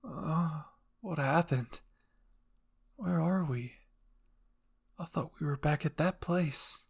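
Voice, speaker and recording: A man's voice: a little high, slow pace, gravelly, breathy edge, pitched above the performer's own voice, exaggerated voice. A man voicing a groggy, confused young woman who speaks hesitantly in an anime fan roleplay. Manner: hesitant, bewildered.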